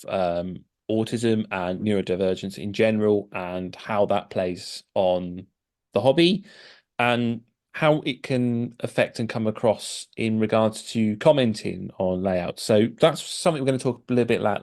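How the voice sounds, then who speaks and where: A man's voice: lowish voice, moderate pace. A middle-aged man hosting a casual podcast, chatty and hesitant, with many fillers and restarts. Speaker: podcast host.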